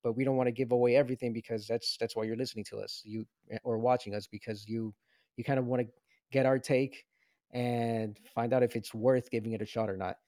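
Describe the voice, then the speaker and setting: A man's voice: mid-range voice, steady tone, a little fast, warm tone, dry recording, clean studio sound. A man in his late thirties from Venezuela, co-hosting a casual show review and rambling with many restarts. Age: 30s. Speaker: podcast co-host.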